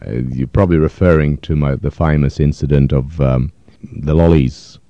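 Very deep-voiced man in his fifties, from Poland. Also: fairly even intonation, mellow, no room sound, dull audio. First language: Yiddish. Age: 50s. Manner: thoughtful, hesitant, with frequent pauses and fillers. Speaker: interviewee. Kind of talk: reflective oral history interview.

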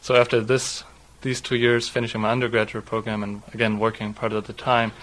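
A man in his twenties from southwest Germany, a native German speaker with a German accent, speaking in a halting, explanatory way. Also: lowish voice, level tone, fairly bright tone, low-quality recording. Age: 20s. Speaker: interviewee, doctoral student. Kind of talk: read passage, then unscripted personal account.

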